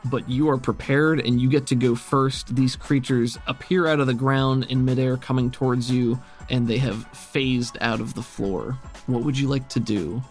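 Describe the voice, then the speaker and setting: A man's voice: mid-range voice, fairly even intonation, normal speed, hardly any pauses. A man acting as game master, narrating a tabletop roleplaying game in a casual, easygoing, conversational way. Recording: music behind the voice. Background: music.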